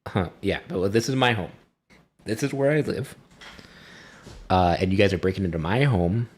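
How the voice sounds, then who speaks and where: A man's voice: low voice, moderate pace, breathy edge. A man voicing an irritated undead male dwarf who guards his crypt home, exasperated and casual, in a tabletop game. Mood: aggrieved.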